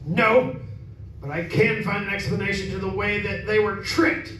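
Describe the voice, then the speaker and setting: A stubborn, scornful master of the house, played by a man in 1930s radio horror style. The voice is very high and bright, pressing each point emphatically. It sounds distant in a very echoey room. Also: steady tone, moderate pace. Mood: accusing, insistent. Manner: emphatic, argumentative. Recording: echoey room, distant mic, faint noise.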